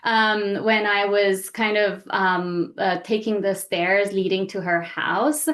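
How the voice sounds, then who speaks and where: A woman from Iran leading a group session, speaking conversationally in a reflective way with frequent pauses. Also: medium pitch, fairly even intonation, fairly bright tone.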